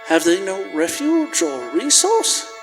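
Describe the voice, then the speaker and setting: An old miser played by a man in a radio play, frightened and repentant. The voice is rather high and theatrical, earnestly pleading. A long church reverb surrounds it. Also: huge pitch swings, slow, soft background music, full character voice. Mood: troubled. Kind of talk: live radio play acting.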